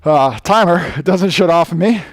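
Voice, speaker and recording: A young man coaching a follow-along running workout, encouraging and a little out of breath, his voice going hoarse. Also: high-pitched, a little slow, no echo, studio quality. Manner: encouraging, talks while running on a treadmill. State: losing his voice.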